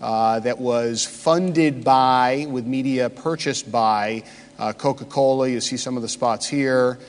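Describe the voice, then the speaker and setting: A man speaks at a conference, his mid-range voice trailing into long hall reverb. He pauses often and stumbles through fillers as he walks the audience through slides. The sound carries the wash of a big room. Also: mid-range voice. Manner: halting, many "uh" fillers. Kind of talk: conference presentation.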